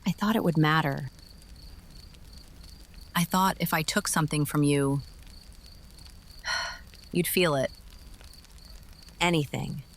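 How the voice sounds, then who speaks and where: Embittered, very low-voiced woman. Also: slow, long pauses, a little hiss or hum. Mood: bitter anguish. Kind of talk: scripted noir audio drama dialogue.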